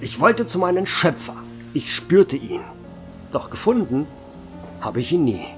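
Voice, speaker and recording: Ein Mann spricht einen uralten Vampir-Wirt. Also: male voice, fairly high pitch, a little slow. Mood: calm. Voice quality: calm, dry, wry storytelling. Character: ancient male vampire barkeeper, calm and dry. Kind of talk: amateur horror audio drama. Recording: music behind the voice.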